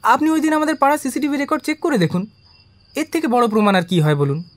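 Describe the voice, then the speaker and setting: An actor in an audio drama playing an eager, deferential small-time private investigator who earnestly defends himself to the police. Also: male voice, extremely high pitch, expressive, quick pace. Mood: eager, defensive.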